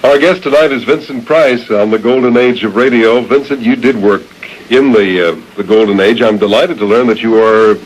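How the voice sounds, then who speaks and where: Male interviewer, fairly low register, formal hesitant phrasing, vintage radio recording with slight background noise. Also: normal speed, poor audio. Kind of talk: interview conversation.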